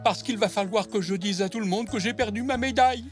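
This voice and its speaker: A man's voice: high-pitched, fast talker, faint music behind, lightly acted. A man voicing a sentimental old grandfather zebra, an army veteran, with theatrical expression in a French children's cartoon. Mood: distressed.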